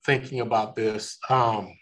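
A man's voice: on the low side, fairly even intonation, fairly bright tone, poor audio. A man from California, hosting a faith discussion, talking earnestly with many restarts. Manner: slow, earnest, with frequent restarts. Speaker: ministry discussion host.

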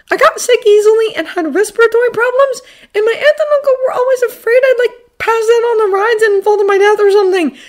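A woman voicing an excitable, bashful and eager teenage boy in a story, hesitant and prone to rambling. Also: very high voice, voice pushed up.

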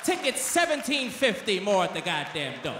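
Male comic as over-the-top American announcer selling a melodramatic stage play, high and sharp, in an echoing hall. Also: sing-song, normal speed, a faint crowd, heavily acted. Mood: mock dramatic. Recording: echoey theater PA, audience noise.

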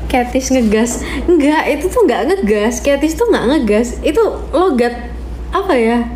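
A woman's voice: high voice, animated, a little slow, a little mellow. A woman in her twenties with a thick Surabaya accent in her Indonesian, chatting casually on a livestream and answering viewers' questions from her phone indoors. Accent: medok Javanese-accented Indonesian of Surabaya. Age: 20s. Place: dim room indoors. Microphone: phone at arm's length. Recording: clearly noisy phone livestream audio.

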